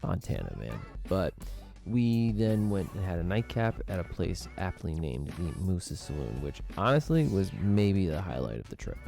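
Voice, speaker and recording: A man with a very deep, gravelly voice narrates his travel video in a wry, conversational way, his pitch moving lively up and down. Guitar music plays clearly behind him. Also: moderate pace. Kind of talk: voice-over narration.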